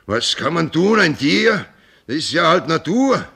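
Gravelly old sea captain. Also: male voice, fairly high pitch, big pitch swings, measured pace, bright, forceful tone, full character voice. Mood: animated storytelling. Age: older. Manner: rambling, folksy storyteller, sprinkles in English words. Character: old sea captain, boisterous, tipsy, sentimental, shrewd. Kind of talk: radio play acting.

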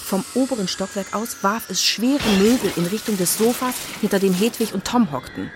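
A woman's voice: medium pitch, expressive, normal speed, noisy background. A woman narrating a children's radio play in a scripted storytelling style. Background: music.

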